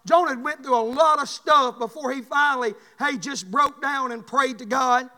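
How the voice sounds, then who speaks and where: A man's voice: very high-pitched, moderate pace, sharp tone. A man with a Southern US accent preaching a fervent, folksy evangelical sermon, exhorting a congregation in punchy phrases. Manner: exhortatory, folksy, repeatedly punctuating phrases with "hey". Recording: echoey hall with long reverb.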